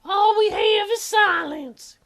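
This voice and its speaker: A man voicing a dim, crude, excitable teenage girl who gushes and blurts in a childlike way for a game. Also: very high-pitched, slow pace, vocal fry, voice pushed up, full character voice. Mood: gushing.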